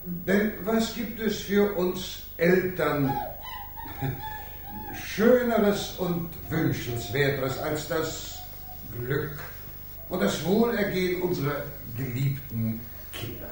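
A man's voice: fairly high pitch, lively intonation, slow pace. An actor playing a pompous bourgeois father giving a stilted, solemn formal speech in a 1950s radio play. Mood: solemn, festive. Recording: distant echoey room, faint hiss.

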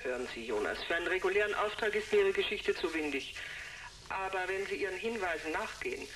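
Cool, sceptical corporate client for radio play, female actor; formal and haughty, with wide pitch movement. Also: lively intonation, fast, noisy background, lightly acted. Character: sceptical, businesslike client hiring a detective. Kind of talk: German sci-fi radio drama acting.

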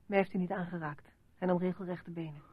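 An actress playing a polite but reproachful, exasperated wife in a radio play. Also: female voice, on the low side, steady tone, quick pace, natural voice. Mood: polite, concerned. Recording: dull lo-fi radio play recording.

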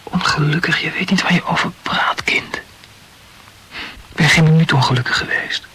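Casting: wordy, rationalizing lover for radio drama, very husky and breathy, self-absorbed philosopher. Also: male voice, on the high side, moderate pace, pushed and bright, whispered, exaggerated voice. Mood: musing. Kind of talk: intimate radio play dialogue.

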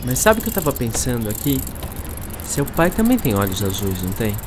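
A man's voice: mid-range voice, very animated, fairly quick, noisy background, natural voice. A man playing a laid-back, slightly clueless schoolboy with slangy speech in an educational radio drama. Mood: playful. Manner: colloquial, slangy.

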